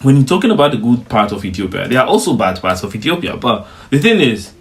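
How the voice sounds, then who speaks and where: A man's voice: mid-range voice, very animated, fast talker. Emphatic young man reacting to footage. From Africa. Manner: emphatic, repeats "bro" and "you get me". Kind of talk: casual reaction commentary.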